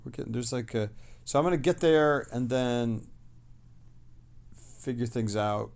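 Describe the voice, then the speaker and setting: A man casually hosting a livestream, reading messages aloud and replying in a rambling, halting way that trails off. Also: mid-range voice, creaky, a little mellow.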